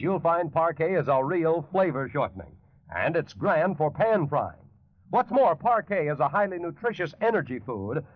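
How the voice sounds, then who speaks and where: A man's voice: on the high side, no room sound. A man with an American accent reading a scripted 1940s radio advertisement as the announcer. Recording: muffled old 1940s radio broadcast.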